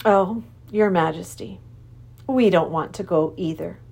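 A woman voicing a kindly, calm professor who talks in a gentle, measured, earnest way. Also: fairly low pitch, vocal fry, breathy edge, full character voice. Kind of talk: storybook reading.

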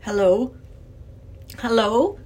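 A woman's voice: fairly high pitch, expressive, drawn-out words, a little mellow, exaggerated voice. A woman voicing a kindly, anxious older male professor with genteel, old-fashioned phrasing, in an acted children's storybook reading. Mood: startled.

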